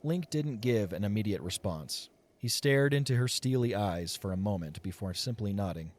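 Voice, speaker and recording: A man's voice: lowish voice, expressive, normal speed. A man narrating scripted fiction as the storyteller of an audio play.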